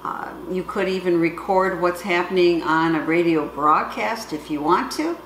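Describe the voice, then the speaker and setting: A woman's voice: on the low side, moderate pace, fairly bright tone. A middle-aged woman giving a casual hands-on product demonstration in a plain-spoken, explanatory way, recorded in a home kitchen with the camera a few feet away. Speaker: product reviewer. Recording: roomy echo, faint background noise.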